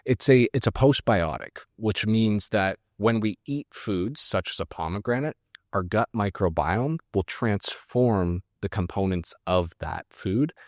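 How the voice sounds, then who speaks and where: A man's voice: on the low side, expressive, a little slow, no echo, clean studio sound. A man from Canada, a guest scientist in a casual interview, explaining things with many fillers and restarts.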